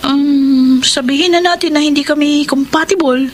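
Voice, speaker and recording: A woman acting a high-voiced Filipina flirt. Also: fairly even intonation, normal speed, natural voice. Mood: breezy. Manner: coy, playful, teasing. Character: flirtatious, worldly single woman, playful and teasing. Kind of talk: radio drama acting in Filipino.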